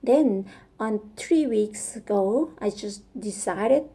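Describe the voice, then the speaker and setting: A woman with a Thai accent talks slowly through her thoughts in English, her second language. Her voice is mellow and gravelly with a lot of vocal fry. She halts and restarts often as she searches for words. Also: medium pitch, lively intonation. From Thailand. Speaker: vlogger. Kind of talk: casual English speaking practice.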